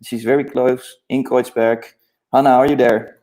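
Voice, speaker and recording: A man from the Netherlands, a native Dutch speaker in his thirties, introducing himself and his company at a launch panel in a relaxed, friendly way. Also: mid-range voice, moderate pace.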